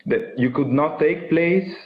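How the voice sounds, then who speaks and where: A young man with an Italian accent, hosting a live interview over a video call, friendly and halting, with many fillers. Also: a little high. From Italy. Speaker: interviewer, bassoonist. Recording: dull lo-fi video call audio.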